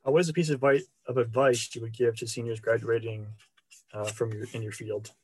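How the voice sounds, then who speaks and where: Brisk man reading interview questions. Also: medium pitch, steady tone, darkish tone, a little hiss or hum, rough recording.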